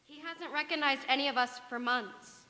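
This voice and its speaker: A woman's voice: high-pitched, normal speed, bright, forceful tone. A woman acting a polite, puzzled and anxious mother in a stage radio drama. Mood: concerned. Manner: polite, concerned. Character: refined, anxious middle-aged mother, polite but puzzled. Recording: echoey hall, distant, long reverb.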